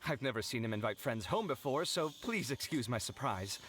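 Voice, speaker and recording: A man's voice: mid-range voice, expressive, a little fast, fairly bright tone, a little hiss or hum, close to an everyday voice. A man playing a young, touchy, dramatic scholar in an anime fantasy game, fretful and easily flustered. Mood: sheepish. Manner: theatrical, indignant, fretful.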